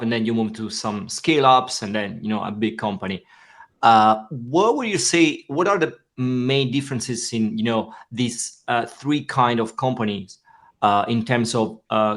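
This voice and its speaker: Male podcast host, friendly and halting, mid-pitched. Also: fairly bright tone. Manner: friendly, halting with many fillers. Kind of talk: podcast interview.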